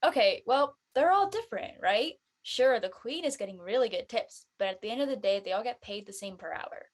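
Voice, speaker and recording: A woman's voice: a little high, animated, a little fast. A woman playing an earnest, explanatory teenage girl who talks in casual slang in a drama read. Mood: exasperated. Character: earnest churchgoing teenage girl, preachy friend.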